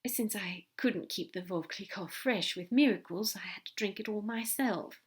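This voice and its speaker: Woman putting on a soft-spoken male angel. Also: mid-range voice, moderate pace, close to an everyday voice. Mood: affectionate. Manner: soft, earnest, often whispered. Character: gentle, fussy, tender male angel.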